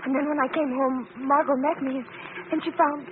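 A woman's voice: high-pitched, steady tone, a little fast, natural voice. An actress playing a distraught young woman witness in a 1940s radio drama, anxious and faltering. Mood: distraught, hesitant. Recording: tinny, noisy old radio recording.

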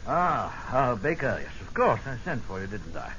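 A hearty British army general, middle-aged and clubbish, in a vintage radio play. His delivery swings up and down with a bright, forceful edge, in clipped, hemming phrases. The recording is dull with some noise. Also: medium pitch, big pitch swings, moderate pace. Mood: brisk, hearty. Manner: clipped, hemming, hearty upper-class officer talk. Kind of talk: old-time radio drama acting.

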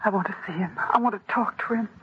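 A woman's voice: medium pitch, fast talker, lightly acted. A young actress playing a distraught, pleading young woman in 1940s radio melodrama. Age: young adult. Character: distraught young woman frantic for her sweetheart. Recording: muffled, hissy old radio recording.

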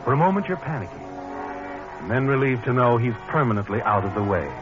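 An actor playing an ominous, knowing narrator who addresses the guilty hero in a 1940s radio thriller. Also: male voice, medium pitch, big pitch swings, fast, close to an everyday voice. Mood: tense, grave. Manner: knowing, dramatic, addresses the character directly. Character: ominous male narrator needling the guilty protagonist. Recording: muffled old radio recording with music.

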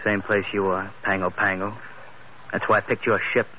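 An actor playing a sullen, burly American stowaway bent on revenge, talking tough and slangy in 1940s radio style. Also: male voice, on the low side, level tone, moderate pace, natural voice. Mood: sullen, menacing. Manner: surly, tough, slangy, grudging. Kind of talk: 1940s radio adventure acting. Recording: muffled old radio recording, faint noise.

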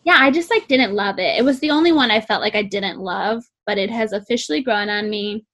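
A young woman with a sweet, squeaky voice, chatting casually about music and peppering her talk with "like". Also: fairly quick, fairly bright tone. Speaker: co-host reviewing music. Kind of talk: casual chat.

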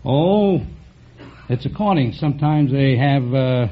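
A man who works as a repairman, answering a quiz host slowly and politely, with halting pauses. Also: mid-range voice, lively intonation. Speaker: repairman, contestant. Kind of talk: game show interview. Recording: dull old radio broadcast.

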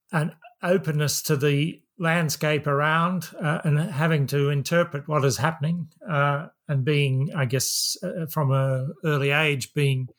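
An older man with an Australian accent, an academic guest giving reflective interview answers in measured, formal wording with frequent pauses. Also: medium pitch. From New South Wales, Australia. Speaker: academic guest, systems professor.

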